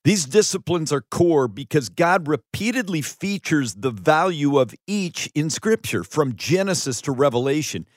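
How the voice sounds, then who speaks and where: A man reading his own audiobook as scripted narration in a preacherly style, earnest and emphatic, with deliberate pauses. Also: fairly high pitch, animated, dry recording, clean studio sound.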